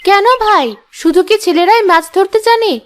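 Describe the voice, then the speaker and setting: A woman voicing a feisty, sharp-tongued, proud village wife in a cartoon, with a scolding, emphatic manner. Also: very high-pitched, moderate pace, slight background noise. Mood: indignant. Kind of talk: Bengali cartoon dialogue.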